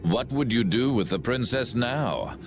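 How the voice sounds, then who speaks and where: A man voicing a cold, grandiose villain commander in a video game, speaking in a pompous, measured, theatrical way. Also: medium pitch, expressive, music in the background, lightly acted. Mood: cold, imperious.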